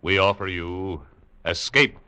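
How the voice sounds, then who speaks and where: A man's voice: sits low, slow pace. A man announcing a radio show in an emphatic, dramatic 1940s style. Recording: old radio broadcast under loud music.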